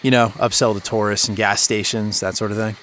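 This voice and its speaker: A man's voice: fairly low pitch, little pitch movement, a little fast, a bit bright, slight background noise, natural voice. A man playing a laid-back, sarcastic, worn-out radio DJ who teases his way through an audio drama scene. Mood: wry, relaxed. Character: scruffy, sardonic broken-down male radio DJ. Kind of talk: naturalistic audio drama dialogue.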